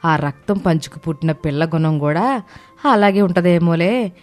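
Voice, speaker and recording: A woman's voice: lowish voice, moderate pace, a little mellow, faint music behind, pitched below the performer's own voice, lightly acted. A woman voicing a worried, weary middle-aged village wife, plaintively recounting bad news in a moral story. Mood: dejected, bitter.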